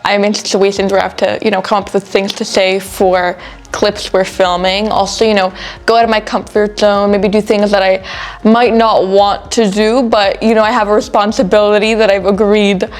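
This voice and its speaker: A late-teen young woman, the student host of a school show, answering interview questions in a relaxed, thoughtful way with frequent "you know" fillers. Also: mid-range voice, normal speed. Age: late teens.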